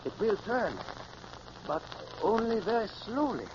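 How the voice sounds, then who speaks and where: Casting: deferential young-officer type, nervous submarine lieutenant, high pitch, muffled vintage radio play with noise. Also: male voice, animated, unhurried. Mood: uneasy, hesitant. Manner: deferential, hesitant. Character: dutiful, anxious male submarine lieutenant. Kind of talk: 1950s radio drama acting.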